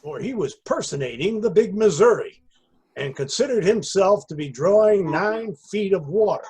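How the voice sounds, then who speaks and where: A man reading literary narration as a storyteller, with a deliberate, theatrical delivery. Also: sits high, expressive, darkish tone, slightly rough. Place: indoors on a video call.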